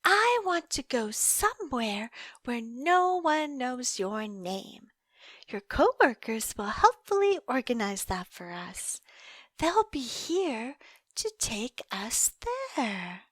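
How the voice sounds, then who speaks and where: An obsessive young yandere woman, acted by a female performer in an audio roleplay, speaking very slowly in a high, swooping voice. She coos and teases with sweet menace, dropping at times into whispers. The sound is close and dry. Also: sing-song, a bit bright, no echo, full character voice. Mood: adoring, obsessive. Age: young adult. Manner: cooing, teasing, slow and deliberate, breaking into whispers. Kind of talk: intimate scripted roleplay monologue.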